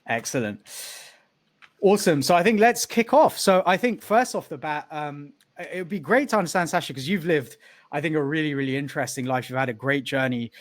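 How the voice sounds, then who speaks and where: A man's voice: on the high side, a little fast, no room sound. Rambling male host with a dry sound. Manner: rambling, many fillers and restarts. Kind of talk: video call interview hosting.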